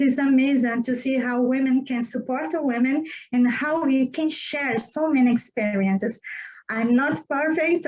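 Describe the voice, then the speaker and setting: Woman, 40s, from Brazil; halting podcast guest, highish pitch, muffled lo-fi audio. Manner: halting, many fillers, non-native phrasing. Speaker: guest engineer. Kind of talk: podcast interview. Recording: dull lo-fi audio.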